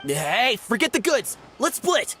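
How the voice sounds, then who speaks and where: Small time game crook, jumpy, medium pitch that swings a lot, music behind. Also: male voice, sing-song, slow, fairly bright tone, full character voice. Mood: panicked. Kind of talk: video game voice acting.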